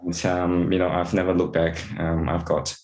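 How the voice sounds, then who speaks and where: A man from Singapore, an integrative veterinarian, answering interview questions in a halting way with many repeated words and fillers. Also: sits low, little pitch movement, a little mellow, echoey room, low-quality recording.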